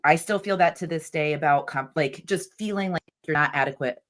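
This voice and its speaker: A woman's voice: low voice, brisk. A woman hosting a podcast in casual interview chat, chatty and enthusiastic, full of fillers like "like". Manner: chatty, enthusiastic, uses "like" a lot. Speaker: podcast host.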